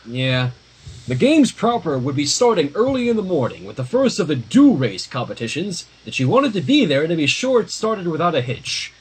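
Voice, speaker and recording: A man's voice: a little high, very animated, moderate pace, slightly rough, poor audio. A man reading a story aloud informally on a livestream, dropping in casual asides. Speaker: livestream fan fiction reader.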